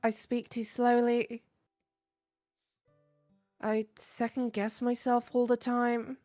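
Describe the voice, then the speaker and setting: A woman voicing a shy, bookish, insecure teenage girl in a visual novel, hesitant, soft-spoken and earnest. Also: a little high, little pitch movement, slow, soft background music. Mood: earnest, vulnerable. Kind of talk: visual novel character voice acting.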